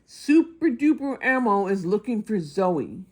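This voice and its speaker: A woman's voice: medium pitch, sing-song, a little slow, pitched above the performer's own voice. A woman voicing a small, affectionate young monster boy with a childlike, eager manner in a children's picture book. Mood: excited, proud. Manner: childlike, eager, speaks of himself by name.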